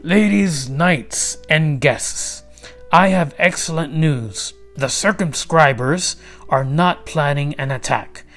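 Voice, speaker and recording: A man's voice: fairly high pitch, fairly bright tone. Noble storybook king, played by a male reader, commanding and kindly, projected proclamations, faint music. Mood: proud, announcing. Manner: proclaiming, deliberate, stately.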